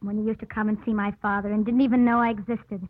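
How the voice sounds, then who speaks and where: A woman's voice: mid-range voice, flat delivery, fast talker, close to an everyday voice. An actress playing an earnest young woman torn by forbidden love, intense in the manner of old radio drama. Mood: anguished, resolute. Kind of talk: 1940s-50s radio drama acting. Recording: muffled old radio broadcast.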